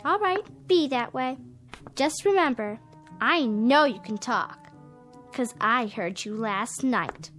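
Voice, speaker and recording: A high-voiced, bratty little girl. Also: expressive, slow pace, a bit bright, soft background music. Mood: taunting. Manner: taunting. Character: bratty, knowing little girl, scornful and sharp. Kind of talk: radio drama acting.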